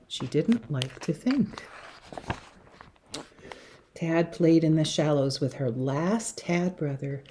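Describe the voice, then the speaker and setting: A woman reading a picture book to young children in an expressive, dramatic way, with hushed passages and emphatic words. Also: deep voice, slightly rough. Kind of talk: expressive children's story read-aloud.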